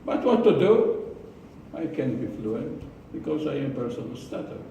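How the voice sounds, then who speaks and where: A man with an Israeli accent giving a prepared speech to a club, slow and deliberate, with pauses and repeated words. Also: mid-range voice, big pitch swings, mellow, lo-fi sound, slight background noise. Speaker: club speaker. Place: meeting room. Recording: echoey room from far off.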